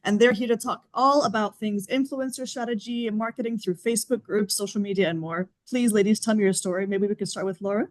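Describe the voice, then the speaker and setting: A woman's voice: mid-range voice, steady tone, quick pace, few pauses, rough recording. A woman hosting a podcast interview, upbeat and enthusiastic as she welcomes and introduces her guests. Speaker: podcast host.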